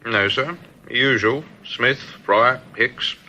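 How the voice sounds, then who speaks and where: An actor playing a deferential, plain-spoken security guard in a radio drama, terse and matter-of-fact, heard over a telephone. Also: male voice, mid-range voice, takes it slowly, sharp tone, slight background noise. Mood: dutiful. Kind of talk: British radio drama acting. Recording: dull, band-limited phone line.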